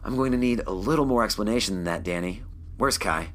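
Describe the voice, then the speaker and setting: An actor playing a weary, self-doubting starship captain in an audio drama, with a hesitant, subdued, thoughtful delivery. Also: male voice, lowish voice, quick pace, a bit bright, natural voice. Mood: businesslike. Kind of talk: audio drama acting, naturalistic dialogue.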